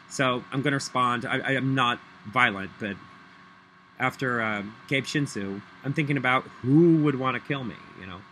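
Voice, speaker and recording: Angry rambling middle-aged guy on a webcam, real sharp tone, faint hiss behind. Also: mid-range voice, rough recording. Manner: angry, profane, rambling; breaks into loud off-key singing. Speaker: vlogger. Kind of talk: rambling webcam monologue with singing. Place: small room at home, indoors. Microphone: laptop webcam.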